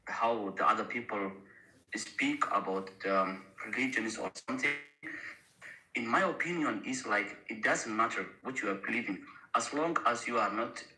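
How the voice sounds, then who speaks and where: A Somali man who grew up in Austria, earnestly giving his opinion in halting during a debate. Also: mid-range voice, pushed and bright, soft background music. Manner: halting non-native phrasing, earnest. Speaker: debate panellist. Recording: echoey room sound.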